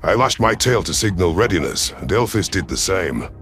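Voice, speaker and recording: Male narrator for a sci-fi audio story: deep register, measured dramatic read, light music bed. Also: big pitch swings. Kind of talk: dramatic first-person scripted narration.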